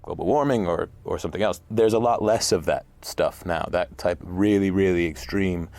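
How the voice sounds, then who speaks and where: A man from New York, an actor giving a reflective interview in a halting, thoughtful way. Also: sits low, darkish tone. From New York, United States. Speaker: actor interviewed about his part.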